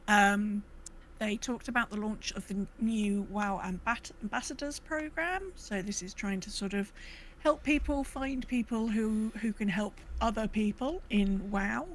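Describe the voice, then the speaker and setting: Female podcast co-host, average pitch, chatty and halting, casual round-up style, some audible background noise. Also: poor audio. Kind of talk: casual chat and informal news round-up.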